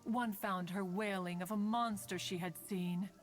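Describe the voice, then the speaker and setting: A woman's voice: mid-range voice, fairly even intonation, unhurried, natural voice. Ancient female immortal, game role for an actress. Dignified, motherly, grandly formal, mid-range voice, faint music underneath. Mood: fond reminiscing. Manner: stately, archaic formal speech, calls herself "one". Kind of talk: video game voice acting. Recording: soft game music behind the voice.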